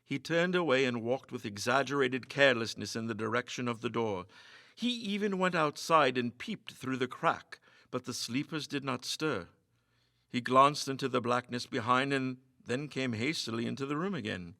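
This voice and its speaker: A man delivers suspenseful, theatrical story narration. His voice sits at a medium pitch with a sharp, forceful tone. The sound is close and dry. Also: expressive, normal speed, gravelly, no echo. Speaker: story reader. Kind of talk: dramatic scripted narration of a ghost story.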